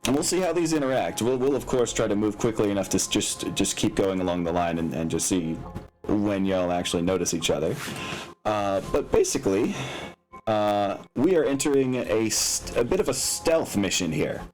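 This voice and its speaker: A man's voice: on the low side, animated, normal speed, darkish tone, poor audio. A man speaking casually as a game master, thinking aloud and explaining rules with some hesitation. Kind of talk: narrating a tabletop mech game. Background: background music.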